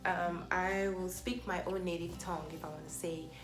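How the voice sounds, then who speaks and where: A woman in her thirties from Nigeria, speaking English with a Nigerian accent and Igbo as her first language, chats her way through a vlog Q&A. Her voice sits on the low side, and her talk is self-conscious, full of fillers and restarts. The room sounds echoey, with music playing behind her. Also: fairly even intonation, moderate pace. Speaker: vlogger. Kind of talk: casual Q&A vlog.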